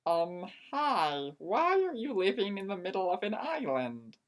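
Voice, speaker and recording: A woman's voice: mid-range voice, sing-song, measured pace. A woman voicing a polite, puzzled young heartthrob man in an amateur fanfiction reading.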